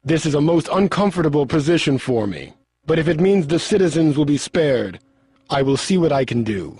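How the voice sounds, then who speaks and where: An actor playing an earnest, honorable martial arts hero in a video game, with formal, stilted phrasing. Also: male voice, fairly high pitch, animated, brisk, darkish tone, slightly rough. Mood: resolute. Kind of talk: video game cutscene acting.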